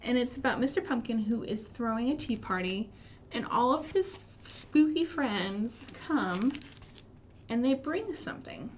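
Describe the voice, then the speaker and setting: American woman, storytime host for small children. Fairly high voice, expressive, encouraging, slight background noise. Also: measured pace. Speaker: children's librarian hosting storytime. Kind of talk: interactive children's storytime with songs and finger rhymes. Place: live online stream for a library.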